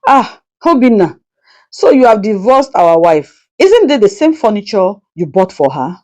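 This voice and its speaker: A woman voicing a wise, calm wife in a folktale, knowing and quietly sarcastic. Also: mid-range voice, measured pace.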